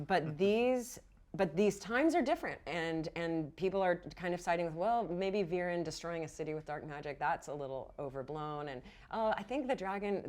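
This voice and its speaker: A woman game master narrating a fantasy tabletop roleplaying game in a playful, theatrical storytelling manner. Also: sits low. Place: indoor studio set.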